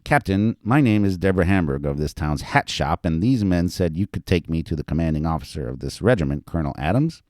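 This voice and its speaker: Guy reading as a bossy proper grandma in a story, formal, kinda animated. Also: low voice, a little fast, few pauses, no echo, clean studio sound. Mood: polite, purposeful. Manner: polite but purposeful, formal.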